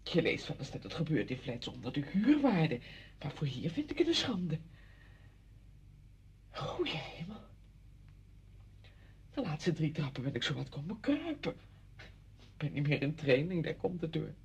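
A woman's voice: low voice, sing-song, unhurried, long pauses, husky, full character voice. An actress playing a chatty, apologetic married woman visiting a friend in a radio drama, effusive, rambling and flustered.

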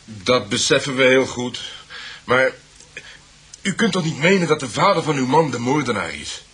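A man's voice: mid-range voice, very animated, moderate pace, a bit bright, husky, exaggerated voice. A man playing a resolute, inquisitive husband in a radio drama, questioning firmly. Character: resolute, inquisitive husband investigating a sinister mystery.

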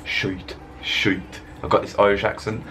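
A man's voice: fairly low pitch, flat delivery, a little slow, bright, forceful tone, slight background noise. A young man talking casually in a rambling, laid-back way as a fitness vlogger, speaking to a webcam at his desk. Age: young adult. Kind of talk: casual voice-over vlog. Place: indoor room, voice-over over gym footage.

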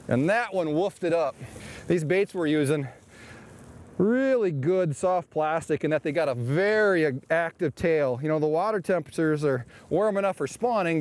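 Upbeat fishing host on a boat. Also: male voice, fairly high pitch, a little hiss or hum. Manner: upbeat, chatty, explains technique. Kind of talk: casual on-the-water commentary. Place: outdoors on a boat on a shallow lake.

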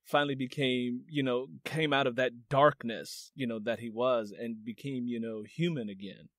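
A man's voice: mid-range voice, steady tone, moderate pace, fairly bright tone, no echo, clean studio sound. A man hosting a book podcast, easygoing and casual, chatting with a guest. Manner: easygoing, laughs often. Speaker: podcast host. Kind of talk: casual interview chat.

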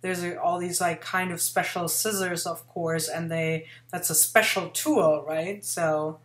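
A woman's voice: on the low side, steady tone, unhurried. A woman in her forties hosting an interview over a video call, chatty and enthusiastic, rambling with lots of fillers. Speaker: host. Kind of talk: casual interview chat. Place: home room indoors. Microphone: webcam on video call. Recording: roomy webcam sound.

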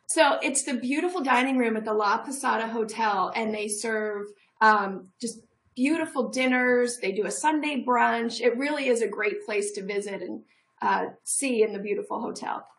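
A woman's voice: on the high side, moderate pace. A middle-aged woman from a tourism office, a guest on a TV show, talking upbeat and promotional over a video call from a room at home.

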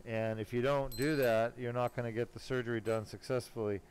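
A man working as a spine surgeon, giving casual, self-assured live commentary while he operates, pausing now and then mid-task. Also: medium pitch, steady tone. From born in Germany, later lived in California. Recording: clearly noisy operating room sound. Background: room noise and ambience.

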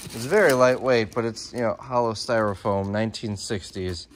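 A man's voice: on the low side, moderate pace, heavy background noise. A man in his thirties chatting casually as a reseller vlogger, rambling and thinking aloud into a phone held at arm's length indoors. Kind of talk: casual vlog chat. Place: large warehouse and storage room, indoors.

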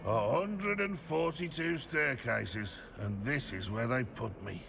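Creaky, sardonic grumbling stone gargoyle. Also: male voice, mid-range voice, slow pace, music in the background. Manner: sardonic, disdainful, complaining. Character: grumpy, sarcastic stone gargoyle guarding a headmaster's door. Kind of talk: video game character voice acting.

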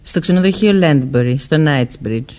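A woman's voice: low voice, expressive, a little mellow. An actress playing a guarded, evasive young woman who seems older than her years, answering curtly in a Greek radio play. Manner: curt, defensive answers. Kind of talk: Greek radio theatre acting.

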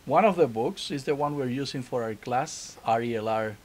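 A middle-aged man hosting a conversational interview, asking courteous, measured questions. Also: mid-range voice. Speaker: interviewer, host. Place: small library room with armchairs. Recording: steady hiss and room noise.

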